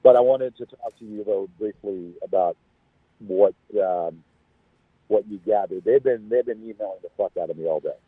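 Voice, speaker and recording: A man under the weather, talking casually on the phone in a halting, repetitive, rambling way. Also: on the low side, breathy edge, dry recording. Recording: thin, phone-like call audio.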